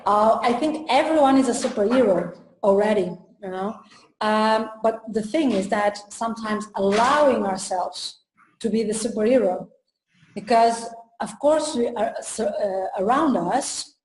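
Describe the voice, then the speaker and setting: Slow, hesitant woman from Portugal. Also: a little high, a little mellow, a little hiss or hum. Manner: slow and hesitant, many fillers and pauses. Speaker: trainer and coach. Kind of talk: casual interview talk. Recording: echoey room.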